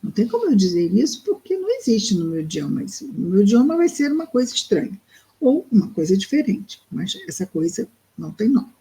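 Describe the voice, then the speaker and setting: A woman with a Brazilian Portuguese accent reads a book aloud and explains it, speaking thoughtfully and slowly, with pauses and fillers. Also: mid-range voice, sing-song, dark tone, rough recording. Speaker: study group presenter. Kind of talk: reading and explaining a spiritist book.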